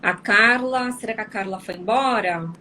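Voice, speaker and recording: A woman's voice: mid-range voice, moderate pace, sharp tone, slight background noise. A tired woman from Brazil hosting a casual live stream, answering viewers with lots of tag questions. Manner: chatty, frequent tag questions.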